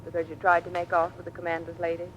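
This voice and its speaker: An actress playing a poised, teasing American officer's wife in an old-time radio drama. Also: female voice, fairly low pitch, completely flat delivery, racing, muffled recording, lightly acted. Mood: coaxing. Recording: noisy vintage radio recording.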